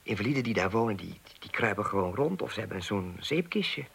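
A man's voice: lowish voice, very animated, fast talker, creaky, a bit bright, husky, exaggerated voice. A man playing an awkward, earnest young idealist in a Dutch radio play, speaking hesitantly with stammers. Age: 20s. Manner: hesitant, stammering, agitated when recounting.

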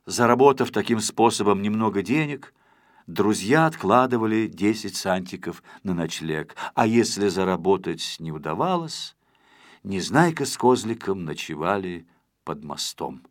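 A man reading a children's story as a scripted audiobook narrator, in an expressive, measured storytelling manner. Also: fairly low pitch, no echo, studio quality.

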